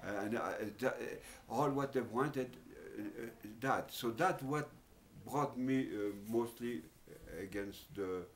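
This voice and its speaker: An older man with a French accent talks through his memories at a careful, halting pace, pausing often and filling gaps. His voice sits at an ordinary middle pitch, with a faint hiss of noise behind it. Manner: halting, with frequent pauses and fillers. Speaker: oral history interviewee. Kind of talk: reminiscing in an interview.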